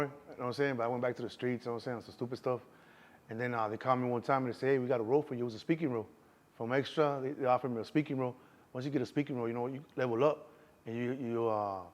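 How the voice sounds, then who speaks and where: A man in his thirties with a Chicago accent, a guest in a casual street interview, talking loosely and constantly saying "you know what I'm saying". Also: mid-range voice.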